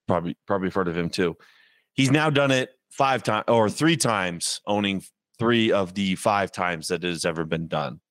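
A man co-hosting a casual sports podcast, joking and animated. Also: sits low, moderate pace, no echo. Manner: joking, animated, puts on silly voices. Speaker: podcast co-host.

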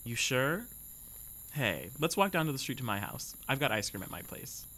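A woman's voice: a bass voice, big pitch swings, normal speed, fairly bright tone, slight background noise, full character voice. A young woman playing a bold, curious, impulsive teenage best friend in an audio drama. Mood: curious, wary.

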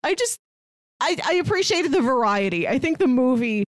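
A woman's voice: sits high, very animated, moderate pace, no echo. Woman co-host, casual wry chat, close dry sound. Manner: chatty, wry, laughing asides. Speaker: podcast co-host.

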